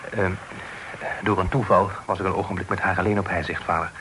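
An actor playing an earnest, deferential young son in love in a period radio drama, hesitant, polite and formal. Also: male voice, deep voice, steady tone, a little fast, a bit bright. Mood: hesitant, pleading. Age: young adult. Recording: noisy old radio play recording.

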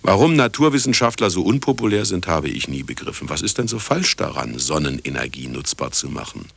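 A mocking radio host in a radio play, real low voice, swings around a lot, theatrical and grandiose. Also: male voice, sing-song, a little fast, gravelly, fairly bright tone, slightly rough, no echo, heavily acted. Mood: indignant, mocking. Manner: theatrical, mocking, lecturing, addressing listeners directly. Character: sardonic, grandiose male radio broadcaster in polar isolation.

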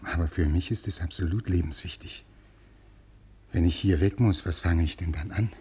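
A man's voice: low voice, normal speed, dark tone, breathy edge. An actor playing an anxious scholarly man who pleads and over-explains earnestly, in a 1950s German radio play. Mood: anxious, pleading. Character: anxious, pleading, scholarly male literary historian.

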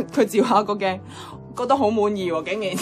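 Actress as cheeky young woman, Hong Kong Cantonese, mid-pitched, bossy and blunt, playful radio drama dialogue, faint music behind. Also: moderate pace, lightly acted. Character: bossy, cheeky, teasing young female flatmate.

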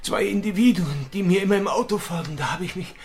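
A man's voice: high-pitched, quick pace, a little mellow, soft background music. An actor playing a furtive, wounded, apologetic man in a radio drama, speaking in hesitant, secretive bursts. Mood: strained, secretive. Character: Julien, furtive, wounded, apologetic male intruder. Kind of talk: German radio drama acting.

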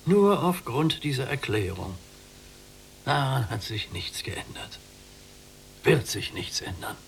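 An old prisoner in a radio drama, his voice full of gravelly creak. He speaks in a measured, severe, declamatory way, weary and resigned. A faint noisy hum sits behind him. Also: medium pitch, very animated, exaggerated voice. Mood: bitter, resigned. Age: older. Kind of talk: literary radio play acting.

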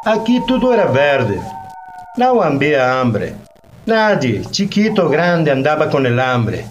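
Weary old peasant, slow and swooping. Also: male voice, on the high side, very animated, faint music behind. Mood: bitter remembering. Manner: rustic, rambling, brooding, with slow drawn-out phrases. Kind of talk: Spanish-language radio theatre monologue. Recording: noisy recording with loud ambient background.

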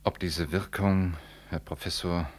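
Very deep-voiced, solemn priest. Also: male voice, fairly even intonation. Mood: solemn, guarded. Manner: deliberate, ceremonious. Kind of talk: German 1960s radio drama acting. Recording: 1960s radio recording, faint noise.

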